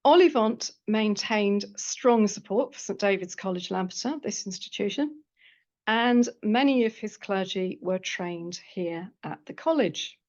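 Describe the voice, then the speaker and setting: A woman's voice: mid-range voice, lively intonation, a little mellow, lo-fi sound. Woman reading an academic lecture paper. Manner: measured reading with dry asides. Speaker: historian giving a seminar paper. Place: lecture room at a college.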